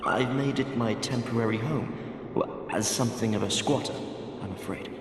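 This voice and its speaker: A man voicing a gentle, absent-minded wandering mapmaker in a video game, courteous and hesitant, his thoughts drifting. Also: medium pitch, normal speed, lightly acted. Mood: wistful, courteous. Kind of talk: video game character dialogue. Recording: echoey long reverb, clear background noise.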